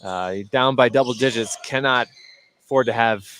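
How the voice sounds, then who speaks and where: A man's voice: medium pitch, normal speed, a bit bright, a little hiss or hum. An American man giving conversational analysis as colour commentator on a live basketball broadcast. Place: indoor basketball gym.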